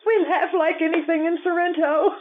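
A woman's voice: extremely high pitch, steady tone, moderate pace, narrow, tinny audio. A woman playing a blunt, teasing, good-humored widowed Italian cook in an old-time radio drama. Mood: amused.

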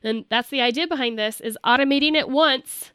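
A woman's voice: high voice, fairly quick, a bit bright, clean tone, dry recording, clean studio sound. A young adult woman co-hosting a casual podcast, a little nasal from a cold, talking with enthusiasm. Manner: enthusiastic, talks in long lists. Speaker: podcast co-host.

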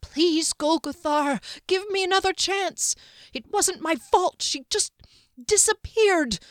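A woman's voice: mid-range voice, big pitch swings, normal speed, fairly bright tone, dry recording, clean studio sound, pitched above the performer's own voice. A woman voicing a timid male henchman who whines and panics, his voice climbing toward a shriek. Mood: pleading.

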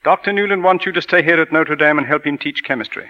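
Bright-voiced, dignified kindly priest. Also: male voice, a little high, fast. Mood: kindly, formal. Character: kindly, dignified priest who heads a college. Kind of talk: 1940s radio acting. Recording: dull vintage radio broadcast, faint music.

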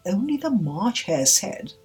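A woman's voice: mid-range voice, big pitch swings, a little slow, darkish tone, faint music behind, pitched above the performer's own voice. A woman voicing a timid, wretched male hatter in a storybook, nervous and pleading, with a trembling voice.

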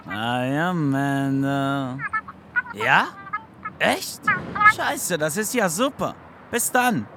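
A man playing a casual, boozy police detective with a creaky voice in a German crime audio drama. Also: fairly high pitch, noisy background, full character voice. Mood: excited. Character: boozy, casual male police detective, easily excited sidekick.

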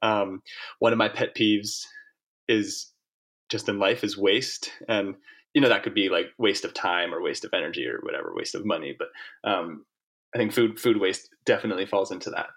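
A man's voice: fairly low pitch, moderate pace, a bit bright. A man from Hong Kong who was raised there, a farmer being interviewed, talking casually in long, rambling answers full of fillers and restarts.

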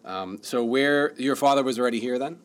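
A man's voice: mid-range voice, a little fast. A man hosting a radio interview, reading a prepared intro and then asking casual questions. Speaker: host, interviewer.

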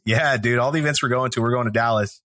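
A Texan man talks loosely and chattily as a podcast co-host. His tone is bright and a little tense, and the mic is close and dry. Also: medium pitch, fast talker, dry recording. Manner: chatty, full of restarts and fillers. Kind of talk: podcast interview.

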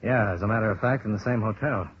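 Low-voiced hard-boiled American investigator, played by a man. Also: quick pace, slight background noise, lightly acted. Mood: easygoing. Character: tough, world-weary male insurance investigator, sympathetic underneath. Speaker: lead actor and narrator. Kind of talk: 1950s radio detective drama acting, first-person narration. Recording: dull vintage radio recording with music.

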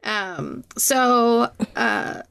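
A woman's voice: on the high side, expressive, drawn-out words, vocal fry, a bit bright, clean tone. A woman hosting a casual podcast interview with a wry, dry sense of humour.

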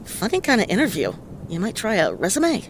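An actress playing a tough, wisecracking female private detective in a noir audio play, speaking in a deadpan, sardonic way. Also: medium pitch, brisk, slight background noise, poor audio. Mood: cutting. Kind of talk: hard-boiled noir radio play acting. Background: prominent music.

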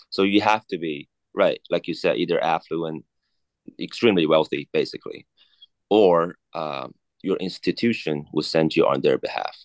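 A man in his thirties from China, a native Cantonese speaker, talking haltingly as an interview guest with many fillers and restarts. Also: sits low, dry recording. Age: 30s. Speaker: guest, watch collector. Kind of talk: casual interview chat.

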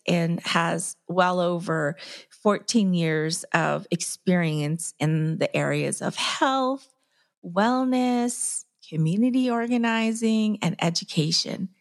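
Lower-pitched woman hosting, affirming and effusive. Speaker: host. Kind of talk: conversational guest introduction.

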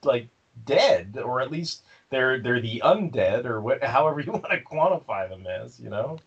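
Husky, animated man stammering through fan talk. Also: medium pitch, normal speed, muffled recording. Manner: stammering restarts, animated storytelling. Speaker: podcast co-host. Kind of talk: casual fan discussion.

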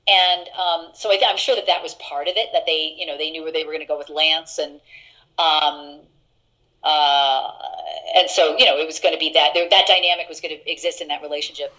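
A middle-aged actress telling stories in an interview, chatty and laughing, with many fillers and restarts. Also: female voice, steady tone, fairly quick, sharp tone, highs cut off. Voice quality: deeper voice. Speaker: actress guest. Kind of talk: casual interview anecdotes.

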